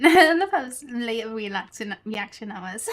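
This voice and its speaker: Slow-paced woman streaming a game. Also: fairly high pitch, lively intonation, fairly bright tone, slight background noise. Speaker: gaming streamer. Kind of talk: casual live game commentary. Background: game music.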